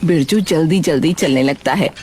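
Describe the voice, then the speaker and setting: A woman narrating a cartoon moral story in an expressive, scripted storytelling style. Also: sits low, moderate pace, background noise.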